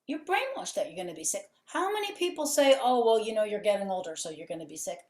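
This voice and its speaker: Fervent woman talking on a podcast, keeps stacking up repeated phrases, mid pitch voice with a lot of room echo around it. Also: sing-song, fast. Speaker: podcast guest, healing center owner. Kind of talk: conversational interview. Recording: roomy echo.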